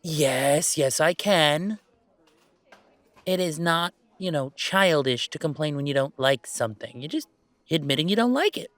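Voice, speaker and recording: A man's voice: on the high side, expressive, unhurried, a bit bright, no room sound. A man playing a weary but fond werewolf gamer who streams, bantering and complaining in a conversational roleplay audio. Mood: playful grumbling. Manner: sighing, bantering, mock-defensive complaining. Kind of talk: one-sided roleplay audio drama, conversational.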